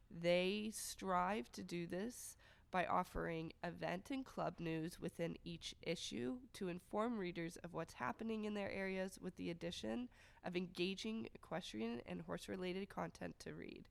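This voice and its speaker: A woman co-hosting a casual podcast, her voice raspy from being sick, easygoing and laughing often. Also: lowish voice. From Canada. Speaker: podcast co-host.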